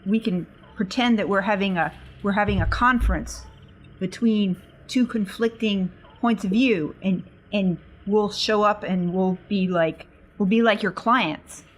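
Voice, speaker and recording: Medium-pitched woman playing a tabletop game. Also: a little slow, low-quality recording. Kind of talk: casual game chat.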